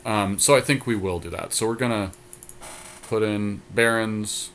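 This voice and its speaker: Low-voiced man chatting over background noise. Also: unhurried, fairly bright tone. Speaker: podcast co-host and card game player. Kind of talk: casual back-and-forth chat while building a card deck.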